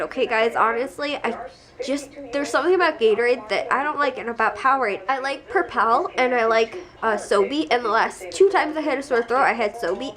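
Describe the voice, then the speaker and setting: A young woman vlogging at home while sick and congested, talking casually and rambling with frequent laughs. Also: fairly high pitch. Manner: rambling, chatty, laughs often. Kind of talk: casual chat to camera.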